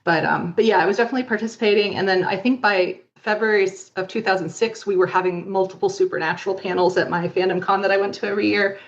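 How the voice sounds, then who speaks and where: A woman's voice: medium pitch, steady tone, quick pace, hardly any pauses, muffled recording. A woman in her fifties chatting informally and wryly as an interview guest, telling stories from memory. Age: 50s. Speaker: interview guest, longtime fan fiction writer. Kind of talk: casual reminiscing in an interview.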